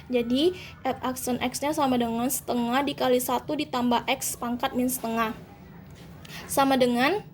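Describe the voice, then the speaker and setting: High-voiced young woman explaining math steps. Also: slight background noise. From Indonesia. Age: young adult. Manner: methodical, step-by-step, self-correcting. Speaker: university student explaining homework. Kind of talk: calculus walkthrough.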